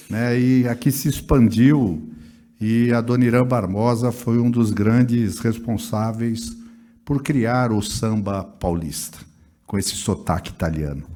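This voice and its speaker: A man's voice: lowish voice, mellow. A man with a Brazilian accent, council president, giving an explanatory speech at a ceremony.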